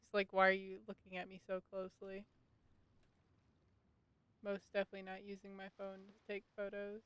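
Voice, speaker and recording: A woman's voice: mid-range voice, completely flat delivery, normal speed, a bit bright, clean tone. A woman live streamer chatting casually over a game, tossing out offhand, muttered asides. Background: game music.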